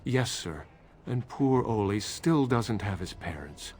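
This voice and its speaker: A man's voice: on the low side, animated, slow, a little mellow. A man acting as an ingratiating street beggar in a video game, pleading obsequiously and playing up his hardship. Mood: wheedling.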